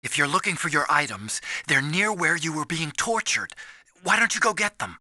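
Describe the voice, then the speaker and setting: Casting: timid, kindly young scientist in a video game. Sharp, lively, dry close recording, earnest delivery. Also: male voice, fairly high pitch, moderate pace, no room sound, heavily acted. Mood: helpful. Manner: earnest, anxious, explanatory. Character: earnest, timid young male scientist, soft-hearted and nerdy.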